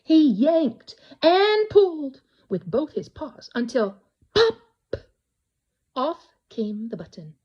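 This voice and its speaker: A woman reading a children's bedtime story aloud with dramatic, drawn-out emphasis. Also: high-pitched, big pitch swings, lo-fi sound. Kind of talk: expressive bedtime storybook narration.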